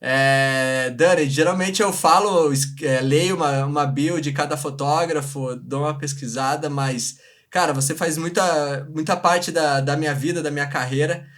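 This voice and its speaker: A man's voice: a little high, moderate pace, fairly bright tone. A man with a Brazilian accent hosting a casual livestream chat, informal and slangy, often repeating himself. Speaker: live show host. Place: remote video live stream from separate location.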